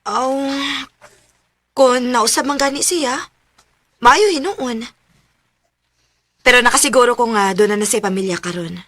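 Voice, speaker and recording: An actress playing a caring single mother in her thirties, gently admonishing in a Cebuano radio drama. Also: fairly high pitch, unhurried, pauses often, no echo. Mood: caring, resigned.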